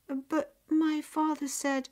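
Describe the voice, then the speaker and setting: A woman's voice: high-pitched, little pitch movement, slow pace, darkish tone, voice pushed up. Woman acting meek young girl. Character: meek, obedient, hard-working young girl.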